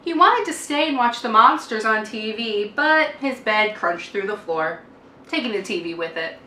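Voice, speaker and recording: Kobieta o jasnym głosie czyta bajkę. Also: female voice, a little high, animated, bright, forceful tone. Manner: deliberate emphasis and dramatic sing-song lists. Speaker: teacher reading aloud. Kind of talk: expressive children's picture-book narration. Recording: roomy, distant microphone, faint noise.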